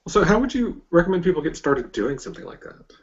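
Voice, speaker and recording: A man hosting a casual interview, putting his questions in a tentative, halting way. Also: on the high side, sing-song, muffled recording. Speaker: interviewer, host.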